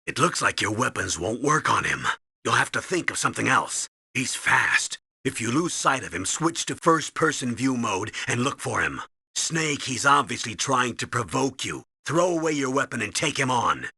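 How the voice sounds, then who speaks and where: A man's voice: medium pitch, sing-song, moderate pace, gravelly, pushed and bright, slightly rough, no room sound, studio quality, heavily acted. A man playing a stern, urgent middle-aged military commander in a video game, speaking with earnest, emphatic authority. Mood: concerned.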